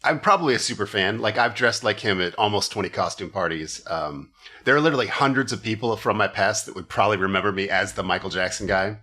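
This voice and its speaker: A man's voice: on the low side, quick pace, bright, forceful tone. A man hosting a comedy podcast, telling stories casually with wry, profane jokes.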